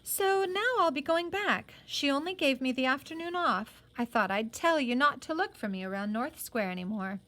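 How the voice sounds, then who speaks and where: Woman as a dreamy, high-voiced teenage girl. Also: expressive, bright, forceful tone. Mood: reserved. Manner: musing, recounting plainly with pauses. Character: dreamy, matter-of-fact fifteen-year-old working girl.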